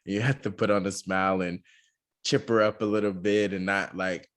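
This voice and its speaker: A young man co-hosting a podcast, talking casually with lots of fillers and a monotone delivery. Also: sits low, normal speed. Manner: relaxed, many fillers, says he talks monotone. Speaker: podcast co-host.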